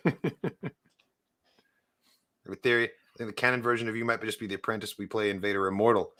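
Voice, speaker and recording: Bright-voiced New Zealand man chatting. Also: on the low side, fairly even intonation, brisk. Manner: enthusiastic, laughs often, fillers. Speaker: podcast host. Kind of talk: casual chat.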